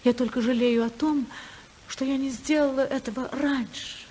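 An actress playing a passionate, unhappy senator's wife in love, in a fervent, emotional 1950s radio drama style. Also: female voice, sits high, fairly even intonation, normal speed, a little mellow, a little hiss or hum, close to an everyday voice. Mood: tender, yearning.

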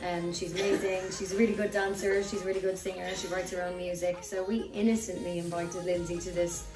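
Lower-voiced woman telling a story. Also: flat delivery, a little fast. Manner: chatty, tells stories with acted quotes. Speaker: band member. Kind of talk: casual storytelling chat. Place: living room, on a sofa. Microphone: far camera. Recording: roomy, distant, with music behind.

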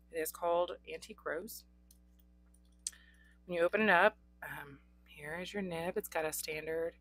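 A young adult woman with a hoarse voice, hosting a casual pen unboxing with frequent ums. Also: on the low side, dry recording. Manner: chatty, frequent ums, occasional coughs. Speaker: pen reviewer. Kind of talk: unboxing chat. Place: indoors at a desk. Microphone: overhead camera on desk, hands only.

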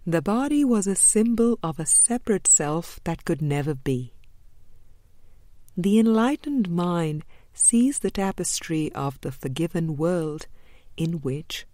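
A woman reading a spiritual book aloud in a measured, deliberate way, pausing between short phrases. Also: lowish voice, lively intonation, mellow, no room sound. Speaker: audiobook reader.

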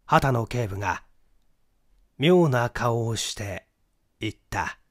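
Guy reading a mystery audiobook, lively intonation, dry sound, expressive storyteller. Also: medium pitch, takes it slowly, no room sound. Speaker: audiobook narrator, stage actor. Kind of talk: scripted mystery story narration.

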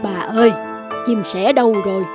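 A woman voicing a gentle old woodcutter in a children's fairy tale, softly and compassionately. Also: mid-range voice, fairly even intonation, normal speed, lightly acted. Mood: worried. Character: kind, gentle old woodcutter, a poor man. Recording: music behind the voice.

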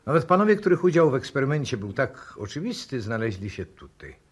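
An actor playing a grim, fatalistic professor in a 1960s radio drama, deliberate and ominous, moving between hushed and forceful delivery. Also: male voice, medium pitch, very animated, breathy edge. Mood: calm, assured. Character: grim, fatalistic male professor calmly awaiting a deadly explosion. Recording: noisy old radio drama recording.

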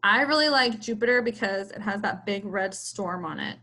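A woman's voice: medium pitch, lively intonation, normal speed, sharp tone. An American woman chatting casually for children as a read-aloud co-host on a video call.

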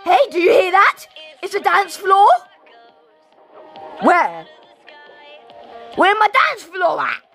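A young woman with a very high, wildly swooping voice, shouting and repeating herself as she comments on her game. Her tone is gravelly, her throat is sore, and she coughs. Light game music plays behind her, and the sound comes from a phone microphone. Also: slow pace, long pauses. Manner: excitable, shouting and repeating phrases. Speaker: gaming channel host. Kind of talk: live gameplay commentary.

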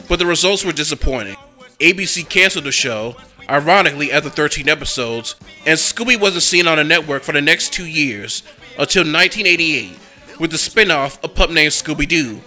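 A man narrating a cartoon history documentary in a scripted, enthusiastic fan's manner. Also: fairly high pitch. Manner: enthusiastic, fan-like.

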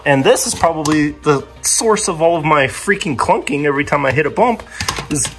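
A man's voice: a little high, animated, moderate pace, background noise. A young man narrating a DIY car vlog casually and informally, thinking aloud with mild exasperation. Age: young adult.

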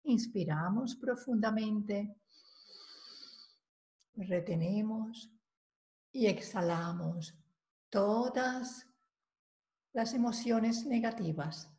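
A woman leading a guided meditation, giving calm, measured instructions with long pauses between phrases. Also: medium pitch. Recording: roomy, echoing voice recording.

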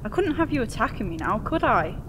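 A woman acts a poised witch countess in a comic fantasy audio drama. Her voice is a little high and very expressive, delivered slowly and coaxingly. There is a faint rumble of noise behind her.

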